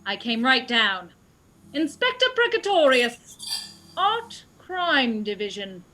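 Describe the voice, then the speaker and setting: An actress playing an eager, self-important Scotland Yard inspector, announcing herself in a comic radio play. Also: female voice, on the high side, sing-song, slow, bright, forceful tone, exaggerated voice, rough recording. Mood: brisk, self-important.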